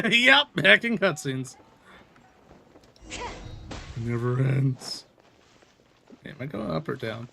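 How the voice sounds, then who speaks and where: A man streams a video game and chats casually as he plays, answering the characters out loud. His voice sits at a middling pitch, with game music behind him. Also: very animated, slow pace, gravelly, pauses often. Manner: chatty, reacting aloud to game dialogue. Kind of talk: casual gameplay commentary. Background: game audio and music.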